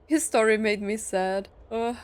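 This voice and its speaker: A woman's voice: on the high side, measured pace. A woman giving casual reaction commentary as she plays through a story game. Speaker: gaming streamer.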